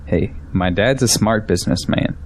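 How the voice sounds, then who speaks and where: A man's voice: lowish voice, moderate pace, a little hiss or hum, natural voice. A young man playing a pragmatic, defensive arguer in an audio drama, with an argumentative delivery. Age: young adult.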